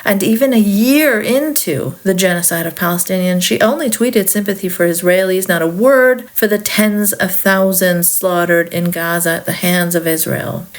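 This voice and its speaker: A woman's voice: medium pitch, normal speed, hardly any pauses. A woman from Saudi Arabia hosting a podcast, giving a reflective political monologue in a candid, conversational way with wry asides. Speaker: podcast host.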